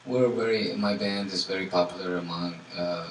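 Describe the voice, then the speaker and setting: A man from Tunisia, a guest musician answering audience questions in a relaxed way, full of "you know" and fillers. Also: low voice, fairly quick. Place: indoor salon event. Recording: echoey room from far off, faint noise.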